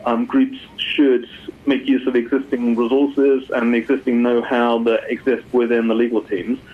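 A man's voice: medium pitch, level tone, moderate pace. A man explaining things as an expert guest in an interview, repeating words as he thinks aloud. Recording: muffled phone-like line.

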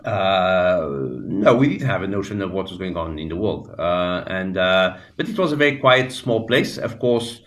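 A man in his sixties from Portugal with a Portuguese accent, talking as a radio interview guest in a halting way, with many fillers and restarts. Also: low-pitched, expressive, fairly bright tone. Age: 60s. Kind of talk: radio interview, reflective storytelling.

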